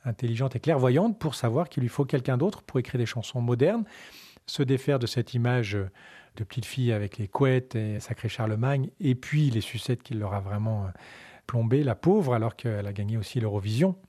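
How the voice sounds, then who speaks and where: A man's voice: mid-range voice, normal speed, dark tone. A documentary filmmaker being interviewed, a man talking frankly and chattily with frequent hesitations. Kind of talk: casual interview talk.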